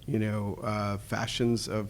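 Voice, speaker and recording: A man hosting a podcast interview, talking in a halting way with frequent restarts. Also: fairly low pitch, little pitch movement, slight background noise. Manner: halting, many restarts and fillers. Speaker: podcast host. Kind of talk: conversational interview.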